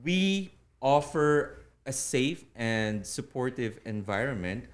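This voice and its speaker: A man with a Filipino accent, a native Tagalog speaker, explaining things earnestly as an interview guest in deliberate, formal phrasing. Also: medium pitch, sing-song. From Philippines. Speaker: interview guest, public speaking club officer.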